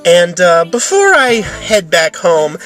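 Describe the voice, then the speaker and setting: Male vlogger, extremely high bright voice, exasperated and sweary, ranting in a car. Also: huge pitch swings, slow pace, faint music behind. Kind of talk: ranting vlog to camera. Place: inside a parked car. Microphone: camera pointed at himself.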